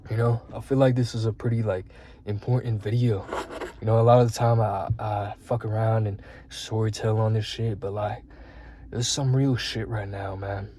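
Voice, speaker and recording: A man's voice: lowish voice, steady tone, moderate pace, warm tone, slight background noise. A man in his twenties giving earnest, rambling self-improvement talk as a vlogger, with frequent fillers and swearing. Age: 20s.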